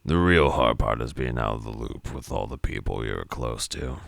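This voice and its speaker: A man voicing a bitter, world-weary ex-convict in a dramatised story, with a gruff, brooding manner and a gravelly voice. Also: very deep voice, normal speed, a bit bright, no echo, pitched below the performer's own voice, heavily acted. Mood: weary, reflective.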